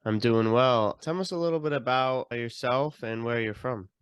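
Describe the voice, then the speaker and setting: A man's voice: medium pitch, moderate pace, smooth, dry recording, clean studio sound. A young adult man hosting a podcast, talking and asking questions in a casual, conversational style. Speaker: podcast host.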